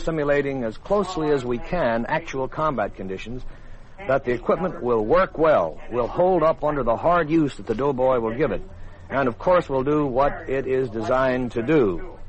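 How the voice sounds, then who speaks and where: Measured man, dull radio sound. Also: mid-range voice, animated. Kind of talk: interview answer, explanatory. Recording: dull, band-limited vintage radio recording.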